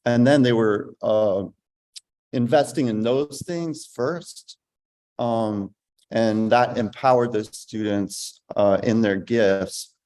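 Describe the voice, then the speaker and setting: A man's voice: medium pitch, takes it slowly, dark tone, poor audio. A man speaking on a panel as a school director, thoughtful and restarting often. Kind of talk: panel discussion talk.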